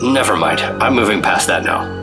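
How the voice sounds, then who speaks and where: An actor playing a hard-boiled, wary 1950s government agent in a radio drama, matter-of-fact and clipped. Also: male voice, low-pitched, animated, normal speed, creaky. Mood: wary, dry. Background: dramatic music.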